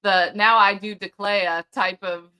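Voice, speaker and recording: A woman hosting a casual commentary chat, chatty and quick to laugh. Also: medium pitch, steady tone, bright, forceful tone, smooth, rough recording. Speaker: host.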